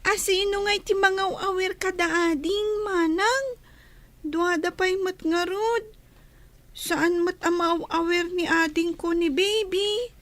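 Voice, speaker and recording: A woman's voice: very high-pitched, fairly even intonation, takes it slowly, a bit bright, dry recording, exaggerated voice. An actress voicing a forlorn young schoolgirl, a plaintive little sister in a radio drama. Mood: tearful, worried.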